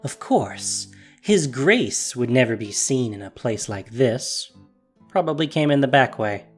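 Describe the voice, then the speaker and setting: A narrator reading a fantasy audio drama in an expressive storytelling manner. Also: mid-range voice, unhurried, faint music behind.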